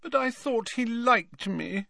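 A man's voice: sits high, lively intonation, measured pace, bright, forceful tone, no echo, clean studio sound, voice pushed up, exaggerated voice. A man voicing the glum, self-pitying ghost of a teenage girl in an audiobook, sulky and plaintive. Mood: plaintive, hopeful.

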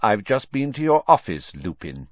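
Guy doing nasty sneering wizard teacher for an audiobook, hissy n menacing, volatile, pitch all over, dry sound. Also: very animated, moderate pace, no echo, lo-fi sound. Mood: smug, gloating. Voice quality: hissing, silky to spitting. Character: sneering, vindictive, fanatical male wizard schoolteacher.

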